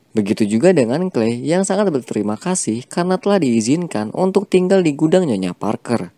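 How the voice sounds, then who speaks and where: A man reading a scripted film plot recap as a voice-over, with a steady storytelling delivery. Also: a little high, no echo. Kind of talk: scripted narration.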